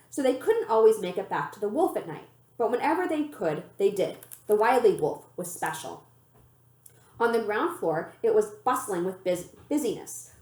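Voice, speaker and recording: A woman reading a children's novel aloud as an expressive, animated storyteller. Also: fairly high pitch. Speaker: librarian reading a book aloud. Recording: roomy, echoey room sound.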